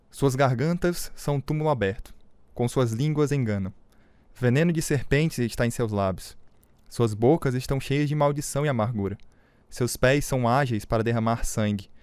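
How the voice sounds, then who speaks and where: An actor playing a firm, patient male Bible mentor with a Brazilian accent, teaching scripture in measured tones in a radio drama. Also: medium pitch, a little mellow, smooth, no room sound, close to an everyday voice. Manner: measured, preaching.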